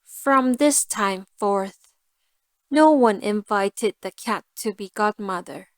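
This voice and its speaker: Woman reading a fairy tale for learners, super slow careful words, high voice, dry recording. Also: no echo. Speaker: storyteller. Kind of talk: scripted fairy tale narration for language learners.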